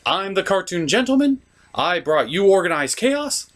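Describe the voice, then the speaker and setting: A man's voice: high voice, sing-song, moderate pace, a bit bright. A man giving a casual, opinionated cartoon review as an online host, blunt and a bit showy.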